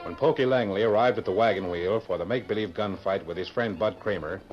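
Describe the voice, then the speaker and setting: A low-voiced formal storyteller, a man, narrating a radio western. Music plays behind him on a muffled vintage recording. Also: fast, lightly acted. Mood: dramatic, measured. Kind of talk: 1940s-style radio drama narration.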